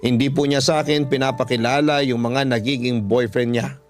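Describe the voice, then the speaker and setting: A man's voice: mid-range voice, steady tone, normal speed. A man with a Filipino accent narrating a first-person story as a radio drama host. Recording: music bed behind the voice.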